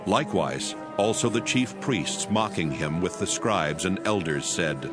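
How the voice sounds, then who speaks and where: Deep solemn male scripture narrator. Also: lively intonation, over music. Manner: solemn, measured reading.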